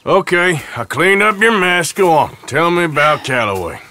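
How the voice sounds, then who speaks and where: Blunt outlaw cowboy, game cutscene, American drawl, highish forceful voice, very animated. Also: male voice, normal speed, exaggerated voice. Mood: impatient, threatening. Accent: American Western drawl. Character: tough, blunt cowboy outlaw hunting stories. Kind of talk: video game cutscene acting.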